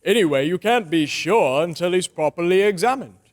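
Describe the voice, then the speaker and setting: A man playing a flustered, excitable department store manager in old-time radio drama style, stammering and exclaiming. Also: high-pitched, normal speed. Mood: coaxing.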